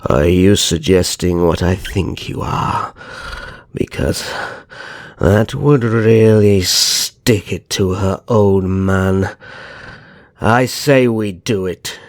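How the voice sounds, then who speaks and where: A man playing a smugly cruel, vengeful vampire naga in an ASMR roleplay, taunting and drawing out his words in a gravelly voice. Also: low-pitched, no echo, studio quality. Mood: scheming, vindictive. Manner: drawn-out, taunting.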